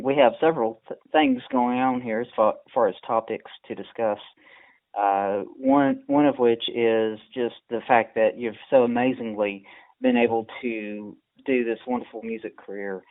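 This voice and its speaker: Lowish male interviewer, phone-like sound. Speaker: interview host. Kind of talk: conversational interview.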